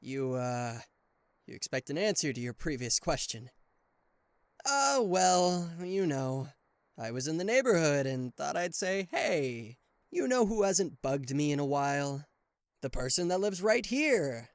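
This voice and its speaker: A man playing a cocky, playful and easily flustered king of hell as an affectionate boyfriend in an ASMR roleplay, with theatrical teasing pauses and stammers. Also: a little high, very animated, no room sound. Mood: flustered denial. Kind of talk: ASMR roleplay addressed to the listener.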